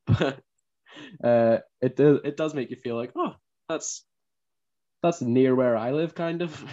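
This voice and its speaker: A man's voice: mid-range voice, measured pace, warm tone, highs cut off. A man with a Northern Irish accent co-hosting a podcast, chatting casually and laughing often at himself. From Northern Ireland. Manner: laughing often, self-deprecating. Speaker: podcast co-host. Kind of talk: casual chat.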